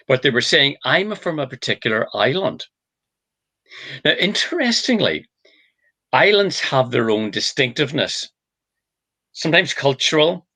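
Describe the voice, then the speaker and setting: Irish man, author type, bright clear voice, thinks out loud with lots of ums. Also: medium pitch. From Ireland. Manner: thoughtful, explains with examples, many hesitations. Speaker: book author. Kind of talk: casual discussion.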